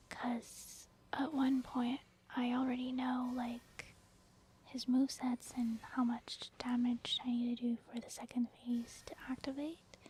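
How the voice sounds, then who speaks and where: A woman's voice: on the high side, a little mellow, slight background noise. A woman doing ASMR game commentary in a whisper, casually explaining her thinking as she plays. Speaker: gaming ASMR video creator.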